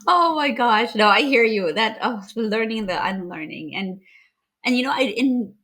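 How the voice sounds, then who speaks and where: A woman's voice: on the high side, expressive, moderate pace, a bit bright. A woman from Zimbabwe hosting a conversational interview, reflective and affirming, with frequent small restarts. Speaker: podcast host.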